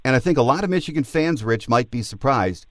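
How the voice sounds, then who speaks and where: A man's voice: medium pitch, expressive, fairly quick, no echo. An older man with an American accent hosting a sports podcast, moving between scripted monologue and interview. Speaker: podcast host.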